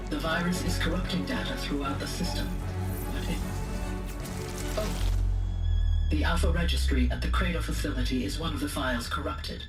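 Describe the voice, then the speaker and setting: Echoing, solemn female AI. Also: deep voice. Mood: alarmed, faltering. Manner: formal, measured, precise diction. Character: female artificial intelligence, calm, solemn, motherly, facing doom. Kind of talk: cinematic game dialogue. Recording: echoing, distant voice under loud music. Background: loud cinematic music.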